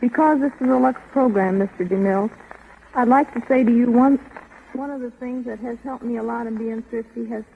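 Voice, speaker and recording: A woman's voice: a little high, brisk, vocal fry, a little hiss or hum. A woman in her twenties as a guest in a scripted-sounding 1930s radio interview. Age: 20s. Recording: tinny old radio broadcast.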